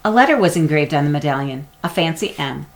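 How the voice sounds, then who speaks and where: A woman narrating a children's chapter book aloud in an expressive storytelling manner. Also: low voice, quick pace. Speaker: storybook reader.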